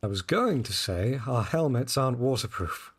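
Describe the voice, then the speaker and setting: An actor as a cautious young man guiding a friend in an audio drama. His voice is husky and lively in pitch. He explains things earnestly and gets flustered easily. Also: moderate pace. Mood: sheepish.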